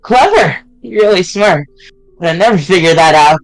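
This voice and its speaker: A man's voice: sits high, lively intonation, moderate pace, soft background music, rough recording. A man voicing a loyal young male friend character while reading a fan visual novel aloud on stream. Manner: casual, blunt delivery with mild swearing.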